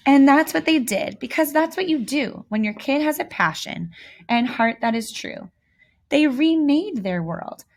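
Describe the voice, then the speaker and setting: Lady doing an expressive kids storybook read-aloud, really lively pitch, lots of dramatic emphasis. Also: unhurried. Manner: animated, theatrical emphasis with asides to young listeners. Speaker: volunteer reader of a children's picture book.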